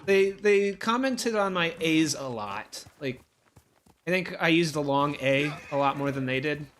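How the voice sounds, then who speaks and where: Higher-voiced rambling man. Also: lively intonation, measured pace, fairly bright tone, slight background noise. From Ohio, USA. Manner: rambling, hesitant, many fillers and restarts. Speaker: co-host. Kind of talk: casual gameplay chat.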